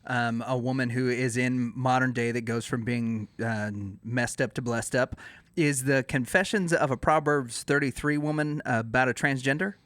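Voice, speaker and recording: A man's voice: medium pitch, fairly even intonation, moderate pace, fairly bright tone, clean tone, no room sound. A man co-hosting a comedy podcast, firing off questions with a dry, teasing edge. His voice sits mid-range, recorded close and dry with no room sound. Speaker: podcast co-host. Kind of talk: casual podcast chat.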